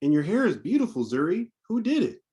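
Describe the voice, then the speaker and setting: A man's voice: high voice, very animated, fairly quick, mellow, pitched above the performer's own voice. A man voicing a proud, admiring mother in a picture book, full of affection. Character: proud, admiring mother home to her daughter.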